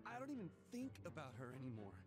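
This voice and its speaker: A man's voice: a little high, huge pitch swings, racing. An actor with an American accent playing a pleading young man, stammering desperately in a dramatic video game. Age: young adult. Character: panicked, pleading young man, cheating boyfriend. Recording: loud music behind the voice.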